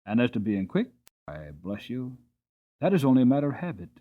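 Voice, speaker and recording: A man's voice: mid-range voice, very animated, brisk, phone-quality sound. A man voicing a small, cheerful old hostler and former jockey, proud and talkative, with a folksy, chatty manner in an audiobook. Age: older. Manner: chatty, folksy, interjects "bless you" and "you see". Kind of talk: dramatic audiobook character voice.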